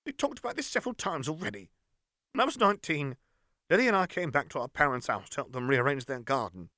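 A man voicing a tense, weary middle-aged aunt in an audiobook, speaking in a hushed, terse way. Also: fairly high pitch, sing-song, fast talker, dry recording, clean studio sound, voice pushed up.

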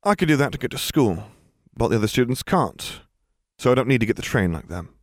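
A man's voice: mid-range voice, big pitch swings, fairly quick, voice pushed up, natural voice. A man reading the lines of a cocky teenage boy wizard in an audiobook, dry and sarcastic. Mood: breezy.

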